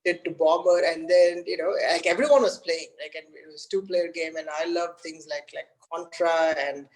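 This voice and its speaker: A man from India whose first language is Tamil, speaking English with an Indian accent. He is a guest in a casual conversation, and he talks haltingly with lots of restarts and fillers. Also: fairly high pitch, fairly even intonation, rough recording. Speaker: guest game developer.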